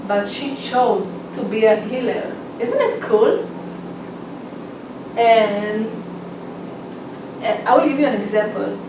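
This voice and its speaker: A woman from Israel rehearsing a personal speech, halting, with restarts and non-native grammar slips. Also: on the high side, animated, noisy background. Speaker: public speaking student. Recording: roomy, distant mic, background ambience.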